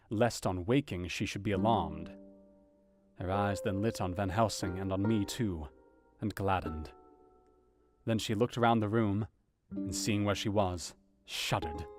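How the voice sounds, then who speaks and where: An actor playing an anxious, educated doctor, narrating his diary in an audio drama in a measured, formal, earnest way. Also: sits low. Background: guitar music.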